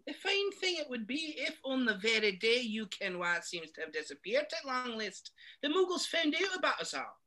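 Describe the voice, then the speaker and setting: A man reading a story aloud, putting on a stern, irritable middle-aged Scottish witch in a high falsetto, set back from the mic. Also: very animated, moderate pace, bright, forceful tone, heavily acted. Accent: Scottish, put on. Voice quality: high strained falsetto with thick Scots words.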